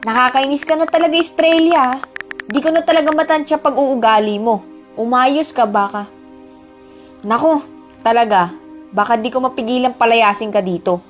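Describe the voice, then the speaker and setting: A woman's voice: sits high, normal speed, pauses often, faint music behind, lightly acted. A woman playing a stern, emotional mother of three with a Filipino accent in an amateur radio drama. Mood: exasperated. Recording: background soundtrack under the voice.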